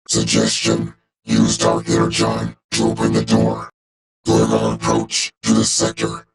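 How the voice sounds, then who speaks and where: Giant robot officer: very gravelly, low, vocoded, cold and formal, clipped game-style status reports with pitch that jumps around. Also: male voice, exaggerated voice, rough recording. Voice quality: gravelly, synthetic monotone. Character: cold, logical giant male robot officer, loyal to his commander. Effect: robotic vocoder filter. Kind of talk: video game character voice acting.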